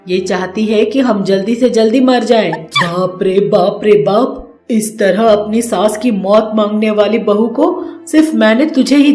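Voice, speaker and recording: A woman voicing a bitter older mother-in-law who scolds and shouts at her daughter-in-law, in an echoey room with music. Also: mid-range voice, close to an everyday voice. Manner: harsh, shouting.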